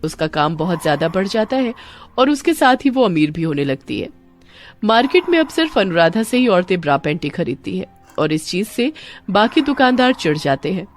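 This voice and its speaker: Expressive woman storyteller, lively pitch. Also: normal speed, faint music behind. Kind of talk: scripted story narration.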